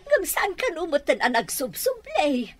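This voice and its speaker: A woman's voice: high voice, wildly swooping pitch, measured pace, exaggerated voice. A woman acting an anxious, wailing middle-aged mother worried about her missing child in a radio drama. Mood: anguished, urgent.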